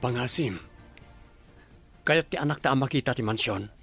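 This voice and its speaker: A man's voice: mid-range voice, moderate pace, gravelly, a bit bright, slightly rough, soft background music. A man playing an earnest, coaxing wealthy father in an audio drama. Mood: earnest, tender. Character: earnest wealthy father reuniting with his young child.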